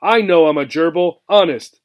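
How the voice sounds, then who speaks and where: A man's voice: a little high, normal speed, natural voice. A man voicing an earnest, timid young female gerbil, eager and questioning, in a children's storybook. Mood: insistent.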